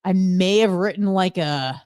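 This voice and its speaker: Female radio co-host, lower-leaning pitch, dry studio sound, candid and chatty gossip with rambling storytelling. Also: unhurried, a little mellow, clear, resonant tone, no echo. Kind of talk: casual gossipy chat.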